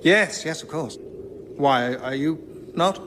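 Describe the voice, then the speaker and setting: An actor playing a genteel, drily pompous eccentric in radio sketch comedy. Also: male voice, fairly high pitch, slow, fairly bright tone, slight background noise. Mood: diffident.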